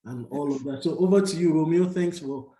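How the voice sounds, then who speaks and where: A man hosts an online session, pausing often and leaning on fillers. His voice is mellow, and the room around him is echoey. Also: fairly high pitch. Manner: hesitant, many fillers. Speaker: webinar host. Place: Calgary.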